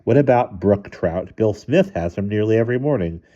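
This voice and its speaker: A man voicing a mild father in a storybook, reasoning wryly in a drawn-out way. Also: on the low side, expressive, mellow, dull audio, natural voice. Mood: mildly grumbling.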